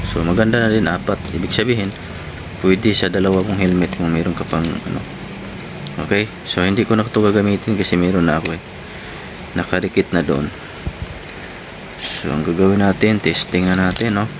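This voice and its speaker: A man giving a casual product review to viewers in short, informal phrases. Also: low-pitched. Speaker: vlogger reviewing gear. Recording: clearly noisy, steady background hum.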